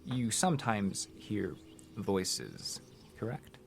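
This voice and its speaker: An actor playing a cold, clinical interrogator in an audio drama, speaking in a stilted, formal, probing way. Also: male voice, fairly low pitch, sing-song, slow pace, vocal fry, a little hiss or hum, exaggerated voice.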